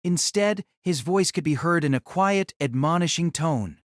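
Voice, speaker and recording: A man's voice: a little high, lively intonation, a little slow, dry recording, clean studio sound. A man reading scripted, dramatic narration of a fantasy story as its narrator.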